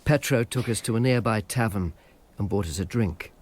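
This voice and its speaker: A man's voice: on the low side, moderate pace, a little mellow, close to an everyday voice. An actor playing a sardonic, world-weary male informer from ancient Rome in a radio drama. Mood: shaken, subdued. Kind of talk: radio drama narration and dialogue.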